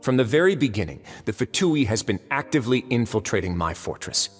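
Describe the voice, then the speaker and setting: An actor playing a suave, calculating fortress lord in a game, speaking with measured courtesy and veiled menace. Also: male voice, medium pitch, big pitch swings. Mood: stern. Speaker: voice actor in a dubbed video game. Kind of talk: theatrical game cutscene dialogue. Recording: long reverb under game music.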